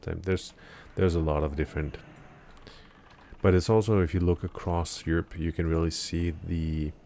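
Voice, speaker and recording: A man's voice: sits low, fairly even intonation, normal speed, dark tone, slight background noise. A man live-streaming a game in the morning, chatting casually with viewers in a rambling, hesitant way full of fillers and restarts, still a bit sleepy. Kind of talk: casual live-stream chat while gaming.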